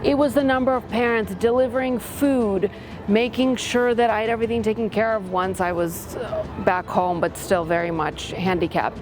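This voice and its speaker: A woman's voice: mid-range voice, fairly even intonation, normal speed, background noise. A woman from Latvia, a school parent and board member, giving a candid testimonial with frank, self-deprecating humor and open feeling. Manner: heartfelt, open about feelings. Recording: music bed under the voice.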